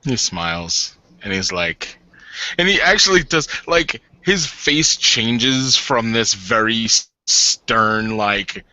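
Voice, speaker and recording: Man, roleplay player, casual halting narration, very bright forceful voice, dry close sound. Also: fairly low pitch, expressive, no echo, dull audio. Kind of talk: animated casual narration of own character's actions.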